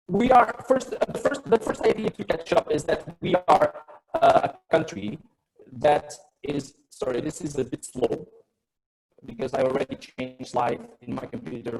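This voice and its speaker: A man's voice: fairly high pitch, a little slow, creaky, husky, poor audio. A man with a Portuguese accent giving a business presentation over a web call, hesitant and often repeating words and phrases. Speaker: investment webinar presenter. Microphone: computer on a web call.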